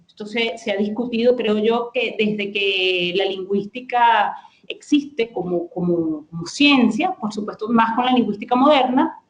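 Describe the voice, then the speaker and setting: A woman's voice: a little high, normal speed, roomy sound, highs cut off. A woman speaking as a guest lexicographer, explaining her ideas thoughtfully, with frequent hesitations and restarts. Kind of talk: explanatory conversation.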